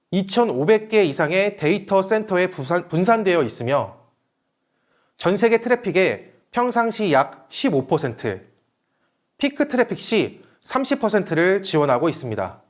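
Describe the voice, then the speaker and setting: A man with a notably high-pitched voice presents technical material from a script. His delivery is formal and read out, yet the pitch moves up and down in a lively way, as in an online seminar talk. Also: normal speed.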